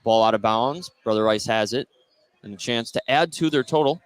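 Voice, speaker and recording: A man's voice: medium pitch, normal speed. A man doing live play-by-play basketball commentary on the radio. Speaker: play-by-play announcer. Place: indoor school basketball gym. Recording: noisy courtside gym broadcast. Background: clear gym noise.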